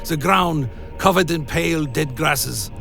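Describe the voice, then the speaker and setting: Guy as brooding vampire narrating gothic radio play, creaky gravelly voice, kinda higher pitched, dramatic and grave. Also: measured pace. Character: Alaric the Damned, brooding male vampire telling his tale. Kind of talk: scripted dark gothic first-person narration, radio play. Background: music.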